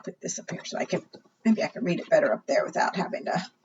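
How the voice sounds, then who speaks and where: A woman doing an expressive storytime read-aloud for preschoolers, often putting questions to her young listeners. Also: deep voice, quick pace, slight background noise. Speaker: children's storytime librarian. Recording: distant, dull lo-fi microphone sound.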